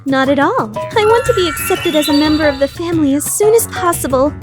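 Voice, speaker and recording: Lovestruck, prim rich schoolgirl over loud music. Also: sits high, lively intonation, brisk. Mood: prim, earnest. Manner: formal, composed speech breaking into gushing outbursts. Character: proud teenage rich girl, secretly giddy with love. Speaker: voice actor. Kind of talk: anime fandub radio play.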